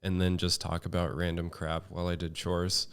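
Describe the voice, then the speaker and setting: A man with a deep, clean, resonant voice talks as a guest in a relaxed home podcast chat. His delivery is flat and monotone, and he stops and restarts often with plenty of fillers. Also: clean tone. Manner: halting, many fillers and restarts. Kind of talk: casual chat.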